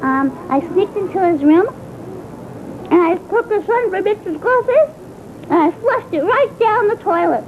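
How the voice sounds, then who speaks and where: A sulky, aggrieved little schoolgirl. Her voice is extremely high and whiny, rambling with childlike indignation through a monologue. The sound is thin and phone-like with faint noise. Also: lively intonation, a little slow. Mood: tearful, spiteful. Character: sulky, aggrieved young schoolgirl, naive and foul-mouthed. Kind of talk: character monologue. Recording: tinny phone-like band, faint rumbling noise.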